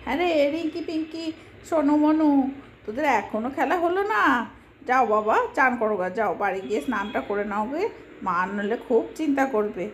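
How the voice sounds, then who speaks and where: A woman's voice: high voice, lively intonation, fairly quick. A woman voicing a fussing, complaining village mother in a homemade doll-play story. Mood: chiding. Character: scolding, hard-working village housewife and mother, bustling and nagging. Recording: noisy homemade recording.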